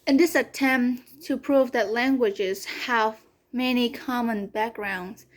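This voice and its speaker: A woman's voice: on the high side, takes it slowly. Earnest young woman, Vietnamese first language. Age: young adult. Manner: earnest, careful, repeats phrases when restarting. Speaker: community college student. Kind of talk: class presentation speech. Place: home room, online class video.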